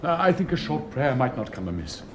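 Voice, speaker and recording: An actor in a radio play as a pompous, sentimental older public-school headmaster with an upper-class English accent, declaiming in fussy, plummy tones. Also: male voice, mid-range voice, sing-song, fairly quick, slight background noise, close to an everyday voice. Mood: pompously pious. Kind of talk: theatrical British radio play acting.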